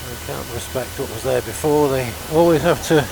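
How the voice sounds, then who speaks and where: An older man with a Welsh accent narrates a motorbike outing through a helmet mic. He talks casually and halts on fillers, with an average-pitched voice. A constant rush of wind and engine noise sits under everything he says. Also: vocal fry. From Wales. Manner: chatty, grumbling asides, halting with fillers. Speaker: motorbike vlogger. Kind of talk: casual ride commentary.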